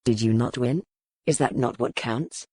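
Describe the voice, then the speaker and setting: Female narrator as male medieval duke, lowered pitch, commanding, formal, measured phrasing, audiobook romance. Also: a little mellow, slightly rough, dry recording, clean studio sound, exaggerated voice. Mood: dryly amused. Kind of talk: audiobook character dialogue in historical romance.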